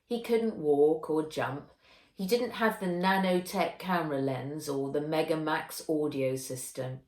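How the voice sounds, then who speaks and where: A woman reading a children's novel aloud as its author, in a measured, expressive storytelling way. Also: low voice. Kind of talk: children's audiobook narration. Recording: roomy echo.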